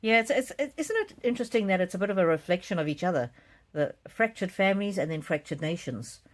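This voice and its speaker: A woman hosting a live psychic card reading, chatting casually and reading out comments in a gentle, musing, hesitant way with soft repetitions. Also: on the low side, animated, fast.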